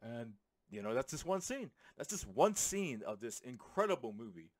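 A man's voice: medium pitch, moderate pace, no room sound. Casual man, dry close-mic sound. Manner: animated, sweary, uses slang. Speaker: film reviewer. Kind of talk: casual, rambling comedic movie review. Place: small room at home. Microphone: handheld microphone held close to the mouth.

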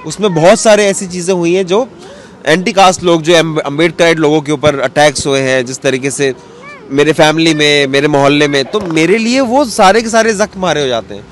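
High-voiced man, earnest. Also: brisk. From western Uttar Pradesh, India. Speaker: interview guest, cartoonist. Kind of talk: earnest interview answer with English words mixed in. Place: outdoor bench in a public square. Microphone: handheld interview mic held toward him. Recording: outdoor street ambience with background noise.

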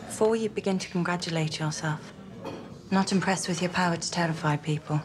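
An actress playing a sardonic young socialist union organiser, speaking in a firm, pointed, unimpressed way. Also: female voice, lowish voice, a little fast, a crowd in the background, lightly acted. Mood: wary, sceptical. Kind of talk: period television drama dialogue.

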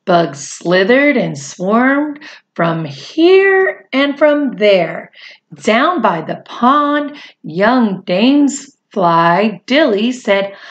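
Slow, animated woman reading to children. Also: a little high, dull audio. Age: middle-aged. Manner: expressive, drawn-out delivery addressed to young children. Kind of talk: animated picture-book read-aloud with chatty asides. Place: small indoor room at home.

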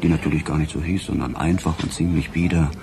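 An actor playing a sardonic, relentless police inspector in a radio crime play, with an ironic storytelling manner. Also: male voice, extremely low pitch, fairly even intonation, fast talker, gravelly, a crowd in the background. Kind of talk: German radio drama dialogue.

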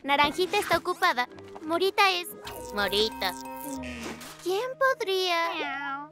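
An eager young cartoon girl, with a tiny, very high voice. She sounds earnest and expressive, and music plays clearly behind her. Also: takes it slowly, heavily acted. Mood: pondering. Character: earnest, eager young girl who runs food truck. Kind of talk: Spanish-dubbed children's cartoon acting.

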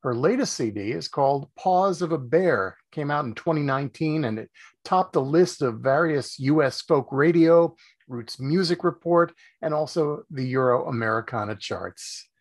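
A man's voice: fairly high pitch, animated, moderate pace. An older man in his sixties, hosting a show and reading an upbeat scripted introduction from a home office with bookshelves. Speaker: show host.

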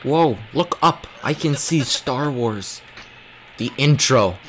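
A man's voice: mid-range voice, slow pace, loud music behind the voice. A man playing an online game, chatting casually with crude jokes and constant swearing. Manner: excitable, crude, joking, swears a lot. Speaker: gameplay streamer. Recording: faint background noise.

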